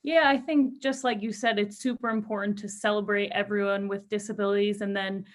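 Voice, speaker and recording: A woman's voice: mid-range voice, steady tone, brisk. A young woman speaks as a footballer in an interview, with a mid-range voice. Her answers are casual and conversational, delivered in an upbeat, earnest manner. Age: young adult. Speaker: professional footballer being interviewed.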